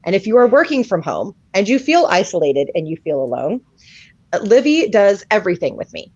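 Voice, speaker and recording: A woman's voice: medium pitch, very animated, a little fast, a little mellow, slightly rough, dry recording, dull audio. A woman hosting a live interview, chatty and affirming. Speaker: host.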